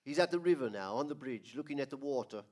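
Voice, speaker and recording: An actor playing a calm, authoritative senior angel in a 1940s radio drama, measured and solemn. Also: male voice, medium pitch, lightly acted.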